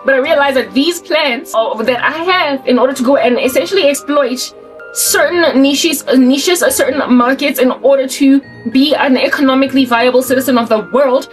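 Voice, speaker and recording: A woman's voice: high voice, normal speed, soft background music. A South African woman in her forties, talking casually to camera in an emphatic, colloquial rant that repeats and restarts words. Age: 40s. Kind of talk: casual ranting monologue to camera.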